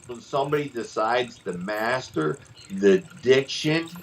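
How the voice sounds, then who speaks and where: A middle-aged man and former wrestler hosting a podcast, telling stories in a rambling, animated way with lots of restarts. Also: medium pitch, a little slow, slight background noise. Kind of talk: casual storytelling chat.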